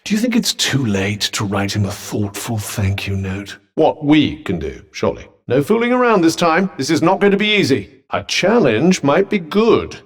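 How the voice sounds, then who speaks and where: A scornful male demon trapped in a talking cuff, a video game companion with a husky, slightly breathy voice. His delivery is dry and mocking, and the pitch swings widely through every barb. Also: wildly swooping pitch, moderate pace, hardly any pauses.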